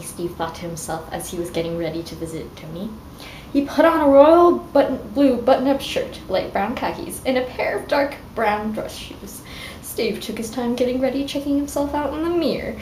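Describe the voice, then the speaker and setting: A woman's voice: on the high side, expressive, normal speed, darkish tone. A woman doing a mock-dramatic fan fiction reading, with snarky, informal asides, swearing and stumbling over her words. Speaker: amateur reader and commentator. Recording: echoey room with clear background noise.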